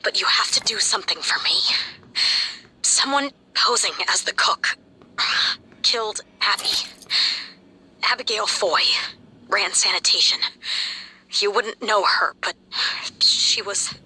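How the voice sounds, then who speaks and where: An actress playing a wounded, grieving station officer in a video game, speaking in a halting, emotionally strained way. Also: female voice, medium pitch, pushed and bright, slightly rough, full character voice, low-quality recording. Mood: grieving, vengeful. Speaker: game character. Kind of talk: dramatic game radio message.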